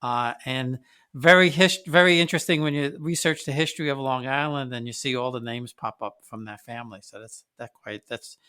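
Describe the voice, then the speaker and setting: A man's voice: medium pitch, a little fast, sharp tone, clear, resonant tone. A man hosting an interview, asking questions in a rambling, conversational way with many restarts. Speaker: podcast host.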